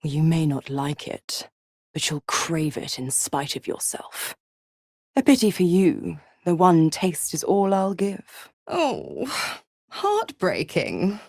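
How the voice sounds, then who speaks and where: A woman's voice: deep voice, very animated, slow, a little mellow, no room sound, clean studio sound, exaggerated voice. A woman voicing a sly, seductive devil in a video game, mocking and condescending, with a teasing theatrical drawl. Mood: seductive, purring, then amused, mocking. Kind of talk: video game character acting.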